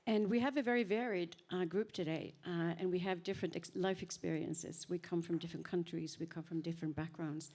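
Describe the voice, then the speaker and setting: A woman who speaks on a panel, telling her story in an earnest, reflective way with thoughtful pauses. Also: lowish voice, fairly even intonation, a little mellow. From Norway. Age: 50s.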